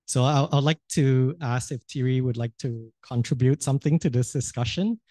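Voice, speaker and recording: Guy moderating a book panel, mellow voice, stumbles and repeats words, dry sound. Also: medium pitch, no room sound. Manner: soft-spoken, halting with repeated words.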